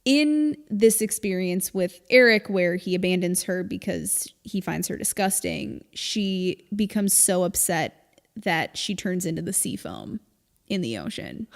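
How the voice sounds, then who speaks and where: A woman co-hosting a podcast, explaining things in casual, informal chat with an animated storytelling manner. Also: lowish voice, unhurried, vocal fry. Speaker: podcast co-host. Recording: long reverb, hall-like room.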